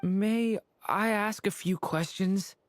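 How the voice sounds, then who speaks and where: A woman voicing an earnest, gentle young boy in a video game, polite and hesitant. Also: mid-range voice, fairly even intonation, slow, no echo, soft background music, lightly acted. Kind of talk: video game character acting.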